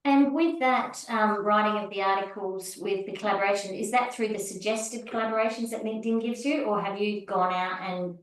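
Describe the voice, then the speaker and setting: A woman's voice: mid-range voice, normal speed, few pauses, very echoey, far from the mic, low-quality recording. A woman hosting an online networking meeting, encouraging and inviting each member to speak in turn. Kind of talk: hosting a video call networking session. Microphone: video call.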